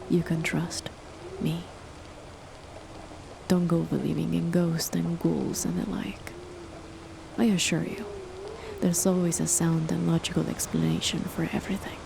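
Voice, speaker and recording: Woman as a cold, condescending chemist spouse for an ASMR horror roleplay; slow, low, fry-edged whispers over steady rain. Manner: soft, measured, condescending, often whispered. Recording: noisy with rain behind.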